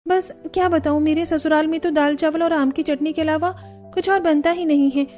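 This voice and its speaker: A woman's voice: high voice, steady tone, moderate pace, a little mellow, soft background music, pitched above the performer's own voice, natural voice. A woman voicing a weary young Indian daughter-in-law in a cartoon story, complaining plaintively. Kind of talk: Hindi cartoon story dialogue.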